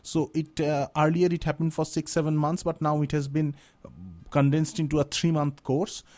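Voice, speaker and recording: Indian writer in his thirties, Bengali native speaker, high mellow voice, thoughtful and halting. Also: flat delivery. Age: 30s. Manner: reflective, searching for words, restarts phrases. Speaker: guest writer. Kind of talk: radio interview. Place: radio studio.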